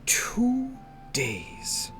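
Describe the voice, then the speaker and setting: A man voicing a cold, deliberate masked viscount from a fantasy story, with measured, precise speech. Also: extremely high pitch, wildly swooping pitch, music in the background, voice pushed up, heavily acted. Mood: grave.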